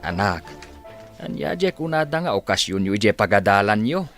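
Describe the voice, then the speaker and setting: A man's voice: fairly low pitch, sing-song, normal speed, a bit bright, soft background music. A man with an Ilocano accent playing an affectionate, hardworking village pig farmer and father in a radio drama, on a noisy recording. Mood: tender.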